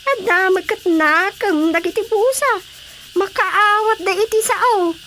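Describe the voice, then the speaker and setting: A woman's voice: extremely high pitch, measured pace, pushed and bright, a little hiss or hum, exaggerated voice. A woman playing a talkative, exclaiming older village gossip with an Ilocano accent in a radio drama comedy. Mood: gossipy, animated.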